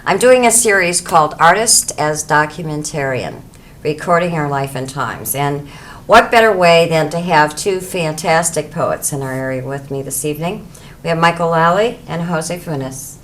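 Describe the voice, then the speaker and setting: A woman with a low-pitched voice hosts a local TV arts interview, chatty and encouraging with her guests. Faint noise hangs under the recording. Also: moderate pace. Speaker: host.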